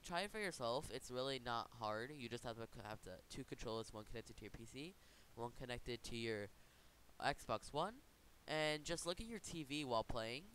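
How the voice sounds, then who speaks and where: A man hosting an informal software tutorial, talking in a casual, rambling way and correcting himself as he goes. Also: medium pitch. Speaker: tutorial host. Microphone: computer microphone. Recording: noticeable background hiss.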